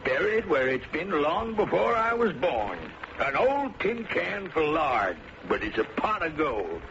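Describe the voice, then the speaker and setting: Creaky old backwoods farmer. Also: male voice, fairly high pitch, very animated, a little slow. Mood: proud, secretive. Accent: rural American. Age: older. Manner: folksy rustic drawl. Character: old backwoods farmer, talkative, folksy, touchy. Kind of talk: old-time radio drama acting. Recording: muffled, noisy old radio recording.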